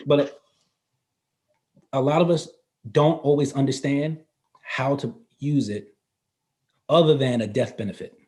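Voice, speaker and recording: A man in his fifties talks through financial ideas in an easygoing, explanatory way. His voice sits at an ordinary middle pitch, and he laughs readily, sometimes restarting his phrases. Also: steady tone, measured pace, long pauses, darkish tone. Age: 50s. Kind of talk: casual interview explanation. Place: North Carolina.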